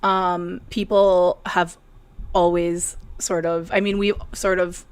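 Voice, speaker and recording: A woman's voice: lowish voice, level tone, slow, smooth, dry recording. Chatty woman co-host, dry close sound. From northern Wisconsin. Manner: chatty, self-deprecating, laughs often. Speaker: co-host, knitwear designer. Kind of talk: casual chat. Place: hot apartment in a city. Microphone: video call.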